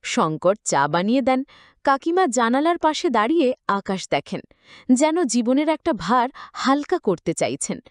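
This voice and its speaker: A woman's voice: on the high side, big pitch swings, normal speed, no echo, clean studio sound. A woman reading a scripted, emotional story as its narrator.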